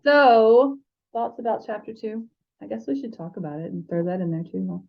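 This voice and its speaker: A woman's voice: a little high, lively intonation, normal speed, gravelly, muffled recording. An American woman co-hosting a fan podcast, reading stories aloud and chatting casually about books. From United States. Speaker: podcast co-host and audiobook reader. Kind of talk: story reading and casual book chat.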